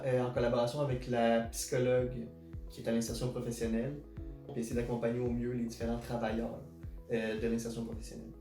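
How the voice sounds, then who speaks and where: A man's voice: mid-range voice, warm tone, reverberant. A young man with a Québécois accent from Quebec, a psychosocial worker introducing himself in an earnest, hesitant way with many filler sounds. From Quebec, Canada. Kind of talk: self-introduction to camera.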